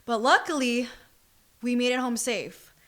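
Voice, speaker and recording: A woman's voice: fairly high pitch, measured pace, a bit bright. Slangy young woman telling personal stories. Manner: slangy, informal, emphatic. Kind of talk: casual chat, personal storytelling.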